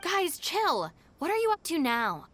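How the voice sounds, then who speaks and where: Blunt, lively young gang minder. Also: female voice, extremely high pitch, unhurried, soft background music, heavily acted. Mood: exasperated. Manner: blunt, no-nonsense. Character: exasperated, capable young woman, gang's sensible member. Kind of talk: video game voice acting.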